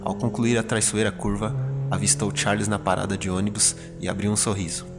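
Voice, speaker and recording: A man's voice: lowish voice, little pitch movement, brisk. A man with a Brazilian accent giving scripted, dramatic narration of a horror story. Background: prominent music.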